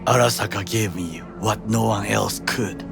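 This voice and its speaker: A man playing a proud, principled ex-soldier bodyguard in a video game, speaking formally and deliberately with a Japanese accent. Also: lowish voice, vocal fry. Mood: stern, proud. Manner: formal, measured, deliberate phrasing without slang. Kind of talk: video game cutscene acting. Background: music under the voice.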